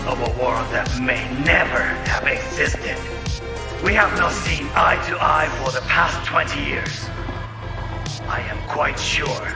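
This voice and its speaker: A man voices a haughty young corporate heir, cold and contemptuous, in a game cutscene. His speech is formal and scornful, set in a roomy space. Loud music plays behind him. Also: mid-range voice, lightly acted. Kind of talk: video game cutscene dialogue.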